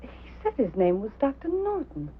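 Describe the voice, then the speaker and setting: An actress playing an anxious wife in a 1950s drama, halting and dazed, on a tinny soundtrack. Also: female voice, high voice, huge pitch swings, slight background noise. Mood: shaken. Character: tense, anxious wife relaying news. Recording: tinny vintage soundtrack, faint music.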